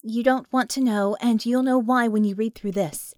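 A woman's voice: fairly high pitch, fairly even intonation, normal speed, a little mellow, no echo, studio quality, lightly acted. A woman narrator voicing a frank, savvy female mage in an audiobook, matter-of-fact in manner.

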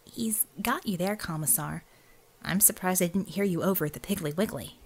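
A woman's voice: fairly low pitch, normal speed. A woman voicing an unflappable, dry-witted heroine in an audiobook, blunt and matter-of-fact.

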